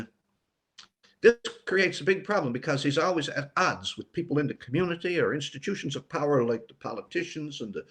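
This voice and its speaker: An older man from Newfoundland, an author chatting casually as an interview guest in a reflective, meandering way. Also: mid-range voice. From Newfoundland, Canada. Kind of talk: casual interview talk about writing.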